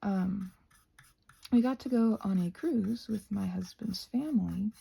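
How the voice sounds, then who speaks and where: A woman's voice: medium pitch, measured pace, gravelly, mellow, breathy edge. A North American woman chatting casually while crafting, playful and scatterbrained, thinking aloud and often trailing off into murmurs. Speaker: craft and ASMR vlogger.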